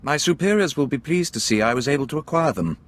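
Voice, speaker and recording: An actor playing a curt, smug and impatient Imperial contact in a video game cutscene. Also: male voice, on the high side, expressive, fast talker, natural voice. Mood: pleased, smug.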